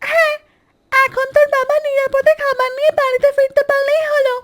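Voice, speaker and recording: A woman's voice: extremely high pitch, little pitch movement, a little fast, a bit bright. A woman voicing a worried, protective cartoon mother duck who frets and scolds her young. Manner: fretful, admonishing.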